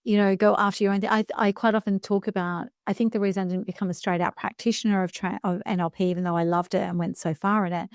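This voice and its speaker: A woman in her fifties speaks with earnest conviction, leaning into her points and slowing down to land the important words. Her voice sits in an ordinary middle pitch. It is recorded close to the mic, with a dry, dead sound. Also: fairly even intonation, dry recording. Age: 50s. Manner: earnest, emphatic, slows down for key phrases. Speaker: guest speaker coach. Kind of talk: reflective podcast conversation.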